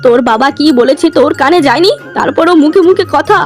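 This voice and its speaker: A woman's voice: high-pitched, expressive, fast talker. A woman voicing a stern, anxious poor village parent, scolding and insistent, in a melodramatic children's cartoon. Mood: angry scolding. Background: music.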